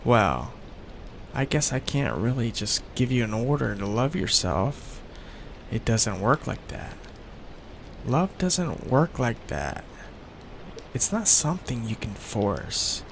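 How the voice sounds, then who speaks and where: Male actor for young mafia heir, ASMR romance: doting, possessive, unhurried, with a lively pitch swing. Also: vocal fry, a little hiss or hum, heavily acted. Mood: tender, earnest. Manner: tender, coaxing, hesitant, asks for reassurance. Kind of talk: intimate ASMR boyfriend roleplay.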